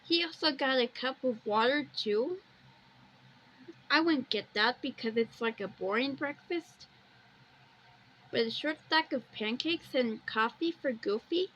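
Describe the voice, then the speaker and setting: A woman's voice: high voice, expressive, a little slow, a bit bright, slight background noise, poor audio. A woman giving a casual amateur video walkthrough, plainly describing pictures and wandering off into personal asides.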